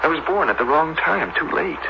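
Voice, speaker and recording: A man's voice: on the high side, animated, creaky. Earnest, world-weary man, noisy old recording. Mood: solemn, wistful. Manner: halting, earnest, with repeated words and pauses. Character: world-weary devout man offering himself as experiment subject. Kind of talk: dramatic radio acting. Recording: dull, noisy old radio drama recording.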